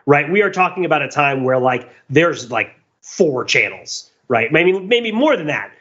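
A man's voice: fairly high pitch, sing-song, normal speed, a bit bright, slightly rough, dull audio. A man co-hosting a podcast, talking in a casual, analytical, discursive way and often checking in with a tag question. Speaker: podcast co-host.

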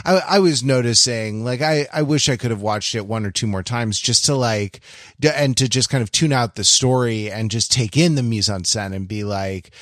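A man's voice: medium pitch, moderate pace, a bit bright, dry recording, studio quality. A man co-hosting a podcast, talking casually about film in a hesitant, meandering way that keeps interrupting itself. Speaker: podcast co-host.

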